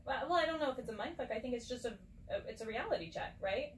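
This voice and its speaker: A woman's voice: fairly high pitch, expressive, fast talker. A woman in her thirties, co-hosting a casual podcast chat, talking earnestly and reflectively and laughing easily. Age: 30s. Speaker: podcast co-host. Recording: roomy and distant with faint background noise.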